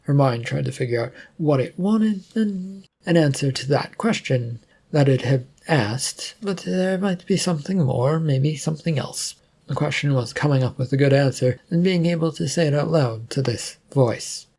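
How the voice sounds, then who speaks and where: Male narrator, dark mellow tone, slightly husky, measured hobbyist story reading. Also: on the high side, animated. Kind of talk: reading a self-written adventure story aloud.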